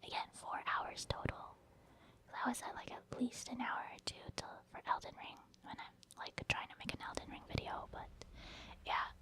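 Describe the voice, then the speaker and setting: A woman giving casual, rambling ASMR commentary on her video game play in a breathy whisper, explaining her thought process. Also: moderate pace. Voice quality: breathy, mostly unvoiced whisper. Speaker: gaming ASMR creator.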